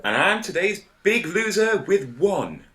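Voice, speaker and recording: A man's voice: high voice, very animated, a little slow, bright, forceful tone. A man co-hosting a podcast in casual banter. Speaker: podcast co-host.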